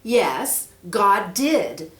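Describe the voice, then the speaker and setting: A woman voicing an eager, defiant young boy in a storybook, speaking loudly and with insistent emphasis. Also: mid-range voice, sing-song, drawn-out words, roomy sound, heavily acted.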